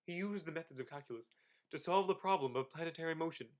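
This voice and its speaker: A man's voice: on the high side, quick pace. A young man giving a dramatic reading of an encyclopedia article, with theatrical, exaggerated emphasis. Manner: theatrical, exaggerated emphasis with sudden loud and hushed phrases. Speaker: student reader.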